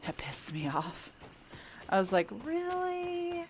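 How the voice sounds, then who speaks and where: A woman's voice: extremely high pitch, big pitch swings, slow pace, a little hiss or hum. A woman giving casual, wry commentary as she plays a video game, thinking aloud. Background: faint game music.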